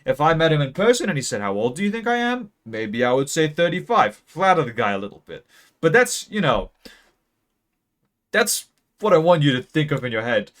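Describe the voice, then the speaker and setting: Young man, late teens, deadpan mock-math rambler, hesitant, close peaking mic. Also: a little high, brisk. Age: young adult. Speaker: video creator. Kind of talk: mock-serious graph explainer. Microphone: close mic that peaks.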